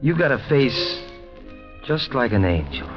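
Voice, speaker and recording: An actor playing an earnest, desperate, lovestruck bank clerk who speaks haltingly in a 1940s radio drama. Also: male voice, mid-range voice, sing-song. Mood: smitten. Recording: dull old 1940s radio recording, loud music.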